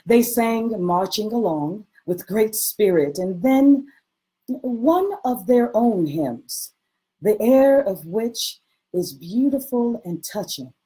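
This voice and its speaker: A woman giving a measured, expressive dramatic reading of a literary text over a video call. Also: mid-range voice, poor audio. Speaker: reader performing a historical diary. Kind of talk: dramatic literary reading with some sung hymn.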